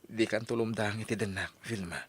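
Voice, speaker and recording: A man's voice: lowish voice, fairly even intonation, normal speed, husky. An actor playing an earnest male suitor in an old radio drama, speaking persuasively and pleadingly. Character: earnest male suitor courting a separated mother.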